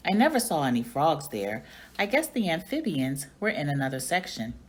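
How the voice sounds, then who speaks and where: A woman narrates a children's story in expressive read-aloud style. Her voice sits low and moves widely in pitch. It has a husky edge. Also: normal speed. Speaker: teacher reading aloud.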